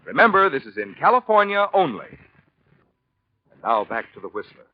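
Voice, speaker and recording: Animated 1940s male radio announcer. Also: a little high, normal speed. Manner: emphatic, polished salesmanship. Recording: muffled 1940s radio recording.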